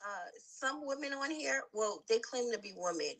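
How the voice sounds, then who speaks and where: High-voiced blunt woman panelist. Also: lively intonation, normal speed, crowd noise. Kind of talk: casual chat.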